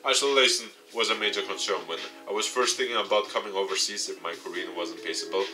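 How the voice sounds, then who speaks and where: A man's voice: sits low, sharp tone. A man reading a visual novel's narration aloud as a game playthrough. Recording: game music behind the voice. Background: game music.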